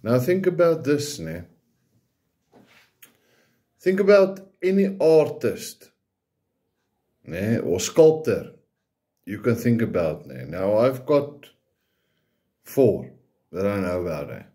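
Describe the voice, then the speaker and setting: A man's voice: fairly low pitch, sing-song, takes it slowly, pauses often, warm tone. A man from South Africa whose first language is Afrikaans gives an informal, hesitant preaching talk in English with an Afrikaans accent, often ending phrases with a questioning tag.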